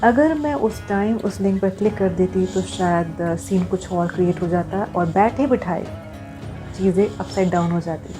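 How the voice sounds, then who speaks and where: A woman's voice: on the low side, moderate pace, music in the background. A woman talking to viewers in an earnest, explanatory vlog style, mixing in English phrases. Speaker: vlogger.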